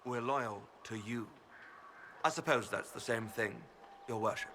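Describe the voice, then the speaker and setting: A man's voice: medium pitch, a little slow, a bit bright, slight background noise, lightly acted. A man playing a loyal mercenary fighter in a video game, deferential but plain-spoken. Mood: respectful, pledging.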